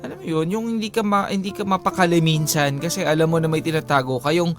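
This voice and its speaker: A man's voice: fairly high pitch, steady tone, fast, faint music behind. A man co-hosting a radio talk show with casual banter, playful and teasing, often chipping in. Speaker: radio co-host.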